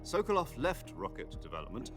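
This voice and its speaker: An actor playing a seasoned special-forces commander, giving a measured, authoritative mission briefing in a dramatic video game. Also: male voice, mid-range voice, huge pitch swings, music in the background.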